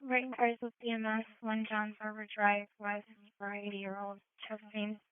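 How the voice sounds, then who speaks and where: Even-toned woman dispatching over radio. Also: medium pitch, normal speed, a little hiss or hum. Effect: two-way radio. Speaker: emergency dispatcher. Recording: thin narrowband scanner radio.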